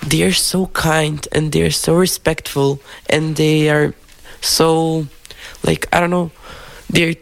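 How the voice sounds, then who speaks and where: A woman's voice: low voice, flat delivery, takes it slowly, vocal fry. A young woman whose first language is Macedonian, answering interview questions in English, hesitant and searching for words. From North Macedonia.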